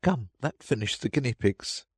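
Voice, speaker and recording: Man voicing curious young Alice, lifted pitch. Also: animated, moderate pace, husky, no room sound, clean studio sound. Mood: musing. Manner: musing. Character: curious, thoughtful young girl Alice thinking aloud. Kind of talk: storybook character voice.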